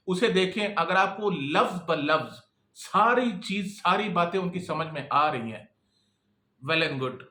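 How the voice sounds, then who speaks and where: Guy teaching freelancing, kinda high voice, chatty, keeps checking in, echoey room. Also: sharp tone. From Pakistan. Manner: conversational, keeps checking in with "okay?". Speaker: freelancing instructor and employer. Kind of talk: casual talking-head lesson.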